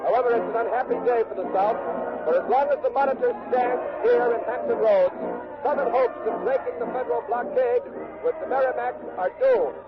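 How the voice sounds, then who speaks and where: A man acting an excited war correspondent who calls a naval battle live in urgent, emphatic 1940s radio style. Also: very high-pitched, brisk, dull audio, loud music behind the voice. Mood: solemn, triumphant. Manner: urgent, emphatic, dramatic phrasing with repetition. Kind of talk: 1940s radio acting, breathless play-by-play commentary. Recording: old radio recording, loud battle din and crowd.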